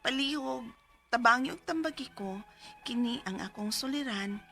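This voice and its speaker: Woman doing a worried young mom in a radio drama, high voice, music under her. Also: lively intonation, moderate pace, bright, forceful tone. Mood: pleading. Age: 20s. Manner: pleading, earnest. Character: anxious young mother describing postpartum troubles. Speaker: letter sender in a radio advice drama. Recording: music playing behind.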